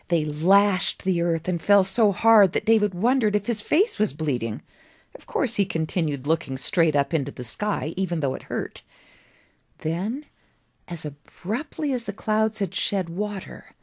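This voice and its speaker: A woman narrating a story as its author, in a measured, deliberate storytelling manner with pauses between phrases. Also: fairly low pitch, darkish tone, no echo, clean studio sound. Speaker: author reading own story.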